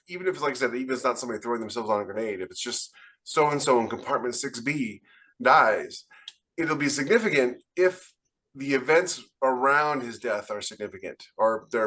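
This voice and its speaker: A man's voice: medium pitch, fairly quick, a bit bright. A man co-hosting a podcast as an author, explaining his views in casual, conversational panel talk.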